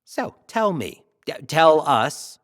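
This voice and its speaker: A brash, animated talk show host. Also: male voice, a little high, slow, full character voice. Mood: teasing, prying. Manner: showy, teasing, theatrical. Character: brash, flattering, self-adoring male talk show host. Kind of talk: audio drama acting as talk show host.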